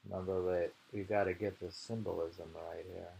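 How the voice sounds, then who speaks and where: A man reading poetry slowly and commenting on it casually, in an unhurried, musing and informal manner. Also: sits low, level tone, creaky, dark tone, a little hiss or hum, rough recording. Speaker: poetry reader.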